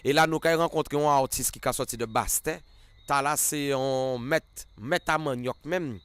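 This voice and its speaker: A male radio host, easygoing and friendly. His voice sits at an ordinary pitch, and he asks his questions in a relaxed way. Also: normal speed, clear, resonant tone. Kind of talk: casual interview.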